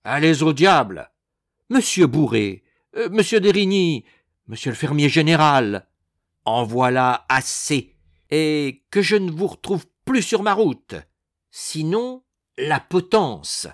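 A man's voice: mid-range voice, normal speed, dry recording, clean studio sound, close to an everyday voice. A man voicing a proud, vengeful financier with a curt, pompous manner in a storybook reading. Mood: furious, threatening.

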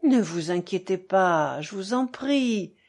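Woman reading as a slow, dignified old monk. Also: medium pitch, expressive, a little mellow. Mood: soothing. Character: frail, majestic old monastery elder, calm and kindly.

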